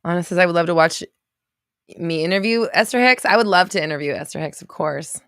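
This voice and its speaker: A woman in her thirties hosting a casual livestream, reading viewer comments aloud and reacting with quick laughs and exclamations. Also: fairly low pitch, fairly bright tone, clear, resonant tone, dry recording, studio quality. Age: 30s.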